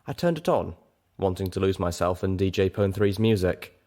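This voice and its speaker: Male audiobook narrator, low register, dramatic first-person delivery with expressive phrasing. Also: moderate pace.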